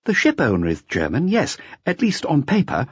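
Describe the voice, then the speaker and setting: Man as a high-pitched, guarded young wife. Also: huge pitch swings, normal speed, husky, no room sound, muffled recording, heavily acted. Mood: guarded explaining. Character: polite young wife, guarded and serious, in a detective audiobook.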